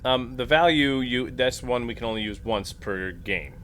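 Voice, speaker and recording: A man's voice: mid-range voice, moderate pace, fairly bright tone, slight background noise. A man talking casually as a player in a tabletop role-playing game.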